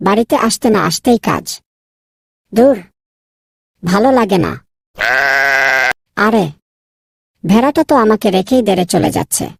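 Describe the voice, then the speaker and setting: A woman voicing a naive, proud young scholar son in a cartoon, easily exasperated, with a husky, creaky voice. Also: mid-range voice, expressive, unhurried, long pauses, darkish tone, dry recording, studio quality. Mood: grumbling. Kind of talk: cartoon dubbing.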